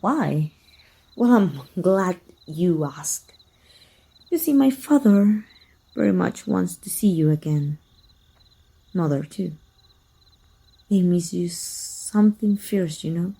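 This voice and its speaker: A woman performs a sadistic, scheming vampire hunter in an audio roleplay, with a gravelly creak in her dark, mellow voice. She is a manipulative sister who taunts in a deliberate, mock-sweet way. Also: fairly low pitch, expressive, full character voice. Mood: coaxing. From Mexico. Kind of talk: ASMR roleplay acting.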